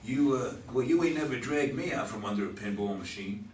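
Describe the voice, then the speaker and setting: A man's voice: medium pitch, expressive, a little fast, natural voice. A man acting a protective, anxious barroom buddy with folksy, fumbling speech in a staged play reading. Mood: wry, defensive. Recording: roomy, distant mic, faint noise.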